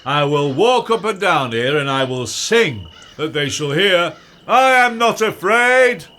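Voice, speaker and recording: An actor playing a bumbling, boastful weaver turned donkey-headed fool in a Shakespeare radio comedy, blustering and stammering with lots of oohs and uhs. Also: male voice, fairly high pitch, very animated, unhurried, pushed and bright, heavily acted. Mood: defiant bluster.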